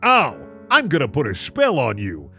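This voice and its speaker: A man's voice: mid-range voice, wildly swooping pitch, normal speed, sharp tone, soft background music, heavily acted. A man voicing a sassy, easily riled woman narrator in a comedic story reading, snippy and theatrical.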